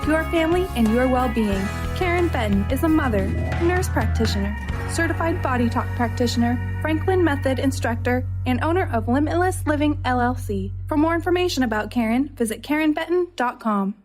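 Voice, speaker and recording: A female announcer with a high voice reads a scripted radio promo. Clear background music plays under her delivery. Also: lively intonation, fairly quick, few pauses. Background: music bed.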